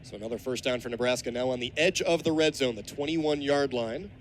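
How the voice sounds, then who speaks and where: A man's voice: medium pitch, brisk. A man in his twenties with an American accent, calling live football play-by-play on the radio. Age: 20s. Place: outdoor college football stadium. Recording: outdoor stadium broadcast, ambient background noise.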